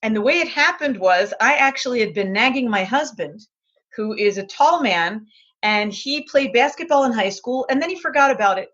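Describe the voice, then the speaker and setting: A middle-aged woman from Israel tells her story in a chatty, animated way as an interview guest. Her voice sits fairly high. The recording sounds dull and muffled. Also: normal speed, slightly rough. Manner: chatty, animated, acts out quotes.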